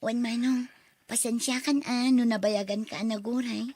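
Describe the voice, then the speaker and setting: An actress as a young woman office worker in a radio drama. Her voice is high and recorded dry. She is coy and expressive, with sighing exclamations. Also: normal speed, dry recording. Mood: friendly, uneasy. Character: young female office worker, coy, torn over brother-in-law.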